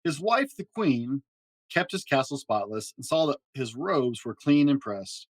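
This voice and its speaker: A man narrating a children's story aloud in an expressive, animated way with dramatic pauses. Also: mid-range voice, no echo. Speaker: podcast host reading a children's storybook aloud.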